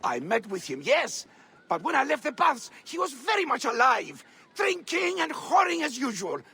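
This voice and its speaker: An excitable, scrappy little informant in a video game. His voice is extremely high and gravelly, swinging widely with theatrical, pleading melodrama. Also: normal speed, heavily acted. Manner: melodramatic, indignant.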